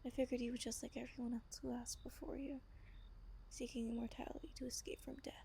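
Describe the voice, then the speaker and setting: A woman playing an ancient, wistful vampire lover in a soft, breathy ASMR roleplay, telling her tale slowly and intimately. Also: on the high side, flat delivery, a little hiss or hum. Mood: fond, tender. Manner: gentle, slow, intimate storytelling.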